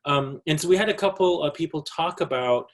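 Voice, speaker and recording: A Navajo man from the US Southwest giving a measured presentation at a virtual symposium, with frequent ums and throat clearing. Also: fairly high pitch. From Navajo Nation, US Southwest. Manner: measured, opens with a greeting in his own language. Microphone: video call.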